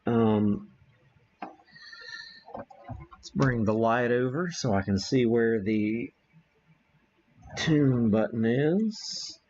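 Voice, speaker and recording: A man hosting a casual, unscripted live radio-hobby demo, thinking aloud in a halting way as he works the equipment. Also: medium pitch, lively intonation, a little mellow, muffled recording, slight background noise. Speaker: livestream host, amateur radio operator.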